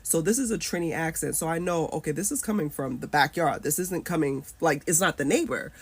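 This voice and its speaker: A woman's voice: deep voice, brisk, slightly rough. A woman in her thirties telling a personal story candidly, swearing freely. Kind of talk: personal story told to camera.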